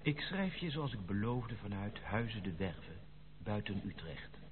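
An actor playing a lovestruck gentleman who narrates his letter to a friend in a formal, measured, literary way in a radio play. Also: fairly low pitch, big pitch swings. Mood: composed, confiding. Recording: noisy, tinny, band-limited old radio recording.

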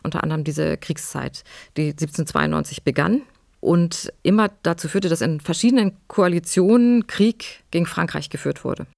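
A woman's voice: deep voice, moderate pace, gravelly, a little mellow, no room sound, studio quality. A woman historian giving precise, academic explanatory commentary.

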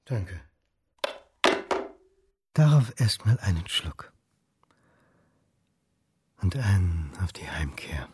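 An actor playing a brooding, dogged history lecturer turned amateur sleuth in a radio drama, terse, pensive and probing. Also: male voice, low-pitched, sing-song, very slow, long pauses, a little mellow, a little hiss or hum, exaggerated voice.

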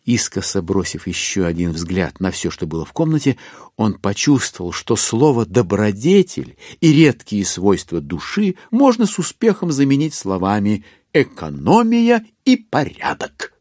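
A man's voice: lowish voice, big pitch swings, moderate pace, a little mellow, no room sound. A man narrating a literary audio drama in a scripted, dramatic storytelling style on a close studio microphone.

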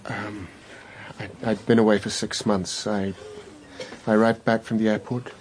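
An actor playing an earnest scientist in his thirties, recovering in hospital, with halting, questioning speech in a radio drama. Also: on the low side, flat delivery, darkish tone, a little hiss or hum, close to an everyday voice. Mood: dazed, anxious. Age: 30s. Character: earnest thirty-eight-year-old geophysicist, a recovering patient, conscientious. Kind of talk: naturalistic radio drama acting.

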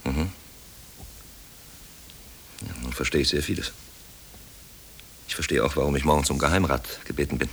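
Deep-voiced, courteous company director. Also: male voice, moderate pace, pauses often, low-quality recording. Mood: guarded, subdued. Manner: polished, gallant, formal. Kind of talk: German radio play acting. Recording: hissy vintage radio drama recording.

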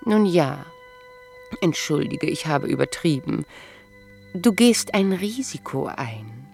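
A proud, knowing, mature wife in a radio drama. She speaks slowly and deliberately with a creaky edge, irony cutting through each phrase. Faint music sits behind her. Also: deep voice, big pitch swings, a little mellow, exaggerated voice. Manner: measured, ironic, deliberate. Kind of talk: radio play acting, literary dialogue.

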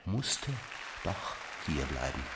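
An actor playing an ardent seducer in a radio drama, speaking in halting, intimate declarations. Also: male voice, rumbling low, big pitch swings, full character voice. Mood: earnest, yearning. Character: smooth, ardent male seducer wooing a married woman. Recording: loud crowd noise and ambience behind.

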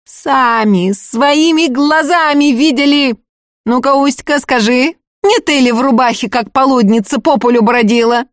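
Woman doing a hoarse raging village girl, high shrill and loud, storybook reading. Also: lively intonation, unhurried, dry recording, studio quality. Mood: furious accusing. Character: furious young peasant woman Akulina, hateful.

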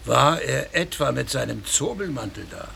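A man's voice: medium pitch, lively intonation, normal speed, vocal fry, bright, forceful tone, slight background noise, full character voice. An actor playing a blunt, gossipy male surgeon at a dinner gathering. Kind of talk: radio play acting.

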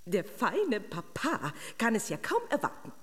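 A woman's voice: medium pitch, wildly swooping pitch, measured pace, fairly bright tone. A woman playing a haughty, easily offended fairy-tale princess in a children's radio drama, theatrical and pompous. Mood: indignant.

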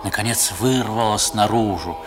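A man's voice: on the low side, moderate pace, music in the background, lightly acted. A man playing a wistful narrator who recalls boyhood, telling the story expressively in a 1960s radio drama. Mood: awed, uneasy. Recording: old 1960s radio recording.

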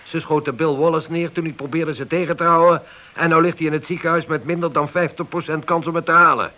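A man's voice: on the high side, fairly even intonation, fast talker, pushed and bright, dull audio. A man playing a stern, sarcastic police inspector with an authoritative manner in a Dutch radio drama. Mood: angry, sarcastic. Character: stern, angry police inspector dressing down a subordinate. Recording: hissy old radio play recording.

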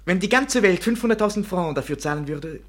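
An actor in a 1950s German radio play playing a vain, scheming young painter with grandiose, flowery speech. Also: male voice, high-pitched, expressive, brisk, fairly bright tone. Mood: eager, charming. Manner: grandiose, flowery, self-important. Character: vain, scheming young male French painter, theatrically charming.

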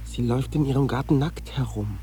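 An actor playing an eager, youthful teenage schoolboy in radio drama dialogue. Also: male voice, medium pitch, fairly quick, noisy background, close to an everyday voice. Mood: matter-of-fact.

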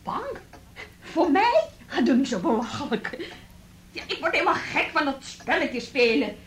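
Casting: proud, wounded young woman, high voice, halting and indignant, radio drama with clear background noise. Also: sing-song. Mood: defiant, exasperated. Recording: noisy radio play recording.

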